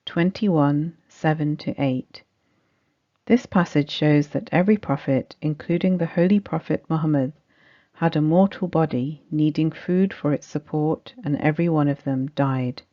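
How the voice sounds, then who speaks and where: A woman's voice: low-pitched, a little slow. Woman reading scripted study, dull recording. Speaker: reader of a religious study text. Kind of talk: scripted narration of Quran study, reading aloud.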